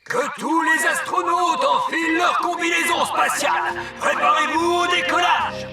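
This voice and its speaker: A man's voice: very high voice, steady tone, measured pace, gravelly, over music, full character voice. A man voicing a wise old male elf in a cartoon, stern and proud, with an emphatic, officious delivery. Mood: proud, commanding. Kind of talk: French cartoon dub acting.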